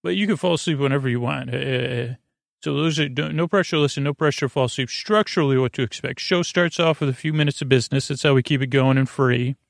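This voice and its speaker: A man hosting a bedtime podcast in a gravelly, creaky voice, meandering and halting as he drifts off on tangents. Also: medium pitch, no room sound, clean studio sound. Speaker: podcast host. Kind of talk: rambling bedtime podcast monologue.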